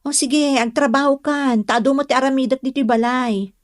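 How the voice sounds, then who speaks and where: A coy young woman with an Ilocano accent, played by an actress in a radio drama. Her voice sits fairly high and is recorded very dry. She is the sort of girlfriend who gets irritated easily. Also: fairly even intonation, moderate pace, no echo, natural voice. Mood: evasive.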